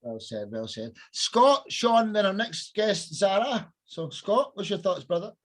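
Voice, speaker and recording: A man's voice: sits high, big pitch swings, a little slow, fairly bright tone. A man hosting a podcast, upbeat, passing the word on with short bits of praise. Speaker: podcast host. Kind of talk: hosting a guest round.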